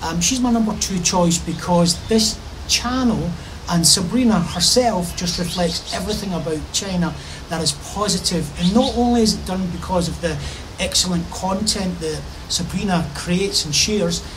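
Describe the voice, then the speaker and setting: A man's voice: sits high, normal speed. Middle-aged guy vlogging outside in a park pavilion, chatty and upbeat, outdoor noise behind. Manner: enthusiastic, gesturing with his hands while he talks. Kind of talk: casual vlog to camera.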